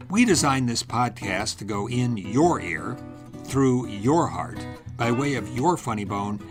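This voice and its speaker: A man hosting a podcast with wry, scripted narration and announcements. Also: mid-range voice. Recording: guitar music behind the voice.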